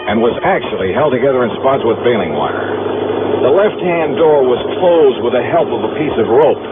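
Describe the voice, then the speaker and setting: A man plays a hard-boiled American investigator in an old radio serial. He tells his case in dry, clipped, sardonic lines at a middle pitch. The sound is narrow and aged, with prominent music. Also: big pitch swings, moderate pace, close to an everyday voice. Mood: tense narration. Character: wry, tough male insurance investigator narrating his case. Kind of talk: 1950s American radio detective acting. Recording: muffled old radio broadcast recording.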